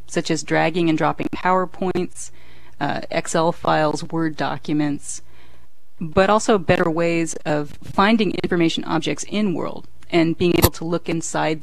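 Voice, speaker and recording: A woman walks through her research findings at a conference, steady and explanatory. Her voice carries a gravelly fry. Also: sits low, low-quality recording. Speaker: conference presenter. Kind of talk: research presentation.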